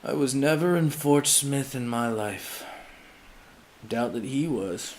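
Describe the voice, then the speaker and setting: A man playing a huge, sly and enigmatic judge in an audiobook, speaking in a calm, deadpan, unhurried way. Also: medium pitch, a little hiss or hum, voice pushed up, poor audio. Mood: calm, amused.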